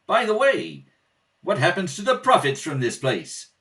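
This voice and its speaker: Heard slightly away from the microphone, a man acting a breezy well-to-do chum speaks with very lively, swooping intonation. He is blunt, jovial and teasing, in the style of a homemade radio comedy. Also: high voice, moderate pace, recorded from a distance, full character voice. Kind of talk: amateur radio play read-through.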